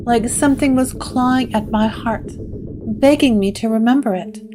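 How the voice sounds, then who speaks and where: A woman's voice: on the high side, moderate pace, noisy background, close to an everyday voice. A woman voicing an ancient, haunted woman who speaks in a fragile, faltering way. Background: guitar music.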